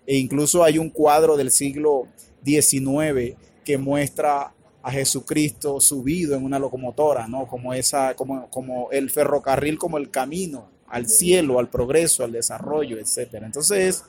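A man with a Colombian Caribbean accent, answering interview questions in a halting, thoughtful way with many filler words. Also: medium pitch, fairly even intonation, a little mellow. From Colombia's Caribbean region. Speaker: author and academic guest. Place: radio booth at a book fair stand.

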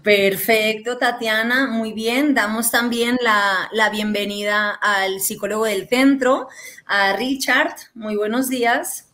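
A woman's voice: on the high side, steady tone, takes it slowly, sharp tone. A woman hosting a talk program, upbeat and emphatic, with frequent fillers. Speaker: host.

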